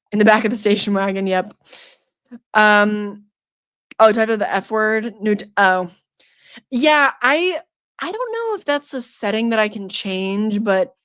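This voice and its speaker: A woman's voice: medium pitch, smooth. A young woman hosting a live stream, chatting casually with her viewers, playful and teasing, laughing often. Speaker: streamer hosting a horror trivia night.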